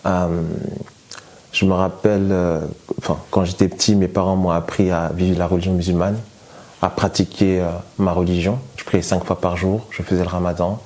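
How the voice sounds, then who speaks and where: A man's voice: deep voice, little pitch movement, normal speed, a little mellow. A man born in France telling his personal testimony in a hesitant way, with many fillers and restarts. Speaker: guest giving testimony.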